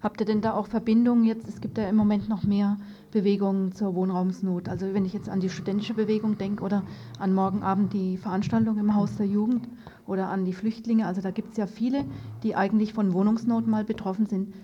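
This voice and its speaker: A woman hosts an informal radio interview in a relaxed, mid-pitched voice. She words things tentatively, thinking as she goes. The recording is dull, with a little noise behind her. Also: brisk. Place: radio studio. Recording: dull lo-fi radio tape, noisy.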